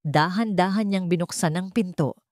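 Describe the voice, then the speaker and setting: A woman's voice: lowish voice, normal speed, darkish tone, no room sound, clean studio sound. A woman reading scripted fiction as a dramatic story narrator.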